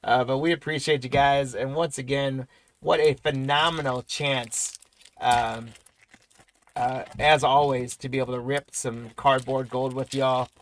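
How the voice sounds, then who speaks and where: A man hosting a live trading card break, rattling off player names and congratulating viewers, his voice going hoarse. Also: medium pitch, steady tone, unhurried, a little hiss or hum, low-quality recording. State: voice weakening, losing it.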